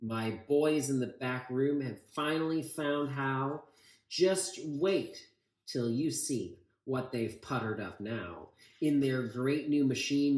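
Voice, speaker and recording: Guy doing a jovial storybook army boss, eager and encouraging, unhurried, echoey room. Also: medium pitch, close to an everyday voice. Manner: breezy, encouraging. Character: confident, jovial old-fashioned military chief, fatherly and eager.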